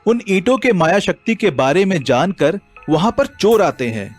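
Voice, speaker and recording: Animated high-voiced man telling a story. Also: normal speed, faint music behind. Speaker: story narrator. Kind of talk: scripted storybook narration.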